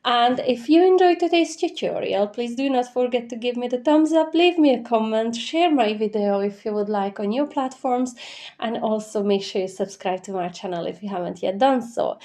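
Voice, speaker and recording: A woman's voice: fairly high pitch, animated, moderate pace, dark tone. A woman, congested with a cold and slightly nasal, gives an informal, chatty step-by-step craft tutorial voice-over. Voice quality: stuffy nose, slightly nasal.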